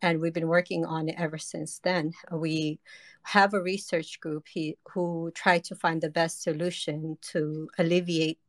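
A woman's voice: low voice, flat delivery, measured pace, dry recording. A woman from Afghanistan, a campaign organizer, speaking earnestly in an online discussion with frequent fillers.

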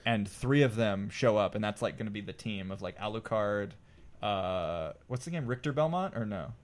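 A man's voice: lowish voice, lively intonation, moderate pace. A man co-hosting a podcast, chatting casually and giving his opinions in a conversational way. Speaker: podcast co-host. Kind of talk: casual group chat about pop culture.

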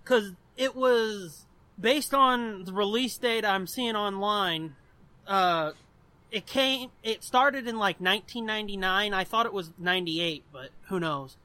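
A man's voice: high voice, unhurried, bright, forceful tone. An American man in his twenties hosting a podcast, chatting casually and telling personal stories in a rambling, profanity-laced way. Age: 20s. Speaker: podcast host.

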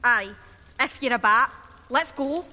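A woman's voice: a little high, animated, a little slow, bright, forceful tone, slight background noise, full character voice. An actress playing a wary, blunt young Scottish woman in a radio horror play, with dry, curt delivery in a long reverb. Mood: unimpressed. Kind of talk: radio horror drama acting.

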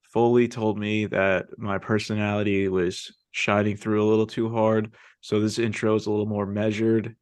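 A young man hosting a casual sports podcast, talking eagerly and telling stories with excitement. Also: fairly low pitch, normal speed, smooth, dry recording. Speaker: podcast host.

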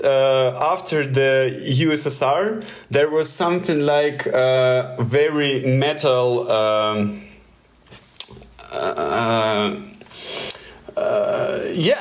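A man with a Russian accent, telling a story in halting English with many fillers and restarts. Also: medium pitch. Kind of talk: casual storytelling.

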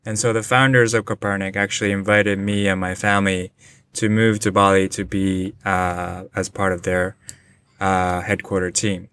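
A man in his forties from Japan, talking as a guest in a relaxed interview, with frequent "uh" fillers, joining from a remote call. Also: deep voice, moderate pace, clear, resonant tone. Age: 40s. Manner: thoughtful, many "uh" fillers. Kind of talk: casual interview conversation. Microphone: remote online call.

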